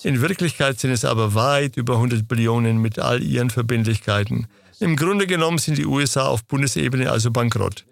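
A man answering interview questions in a steady, lecturing manner. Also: medium pitch, brisk, vocal fry, no echo. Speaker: interview guest.

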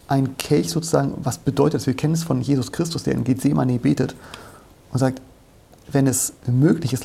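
A man's voice: mid-range voice, gravelly, warm tone, slightly rough. A man talking through Bible passages in a conversational, explanatory way, often posing questions and answering them himself. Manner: explanatory, didactic, asks and answers own questions.

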